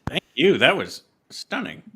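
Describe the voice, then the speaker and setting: Bright, forceful male podcast host. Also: fairly high pitch, big pitch swings, breathy edge. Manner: halting, appreciative. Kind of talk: casual interview and event promotion.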